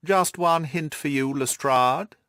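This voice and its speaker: A man voicing an amused, self-assured gentleman detective in an audiobook, with a teasing, theatrical drawl. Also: fairly high pitch, takes it slowly, smooth, no echo, clean studio sound, pitched above the performer's own voice, heavily acted. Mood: calm, teasing. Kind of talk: audiobook character acting.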